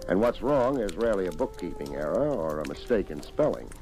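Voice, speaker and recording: An actor playing a world-weary, wry private investigator in an old radio drama, hardboiled and deadpan. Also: male voice, deep voice, fairly quick, soft background music. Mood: philosophical. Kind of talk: old-time radio detective narration.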